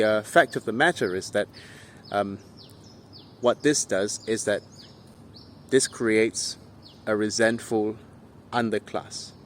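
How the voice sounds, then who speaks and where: A man's voice: on the low side, a little hiss or hum. A man delivering an opinionated political monologue in an emphatic, indignant, rhetorical manner. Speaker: political commentator.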